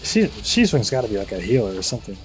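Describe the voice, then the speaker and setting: A young man with a husky voice giving casual, slangy live commentary on a game, musing to himself. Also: fairly low pitch, sing-song, fast talker, a little hiss or hum, poor audio. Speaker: game streamer.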